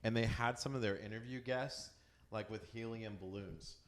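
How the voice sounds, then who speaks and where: A man with a Texan accent co-hosting a local radio show in a studio, chatty and quick to laugh. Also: on the low side, fairly even intonation. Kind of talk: casual radio chat. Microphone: studio mic.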